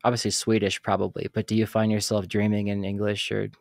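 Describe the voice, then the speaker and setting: A young man hosting a podcast from a small dark room on a studio mic, chatty and given to telling his own stories while asking questions. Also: on the low side, monotone, quick pace, gravelly, dry recording. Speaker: podcast host. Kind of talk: casual interview chat. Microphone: studio mic on arm, headphones.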